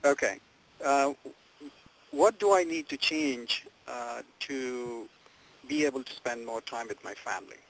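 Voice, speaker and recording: A man's voice: mid-range voice, unhurried, creaky, fairly bright tone. A man answering a coach on a group call, hesitant and searching for words, often repeating himself. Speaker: coaching client, a working parent. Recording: noisy phone conference line with clicks.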